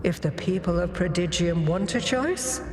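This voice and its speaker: A performer voicing an aging, stern alien former ruler in a game, speaking in a measured, solemn, commanding manner. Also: medium pitch, expressive, noisy background, lightly acted. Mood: menacing resolve. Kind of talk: scripted dramatic game cutscene dialogue. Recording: long hall reverb over loud music.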